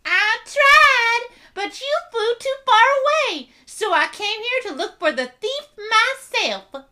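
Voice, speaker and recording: Woman voicing a playful unicorn pony girl, very high, bright, bouncy, cartoon fan dub. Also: lively intonation, slow pace, exaggerated voice. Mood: excited. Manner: giddy, playful. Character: bubbly quirky female unicorn pony.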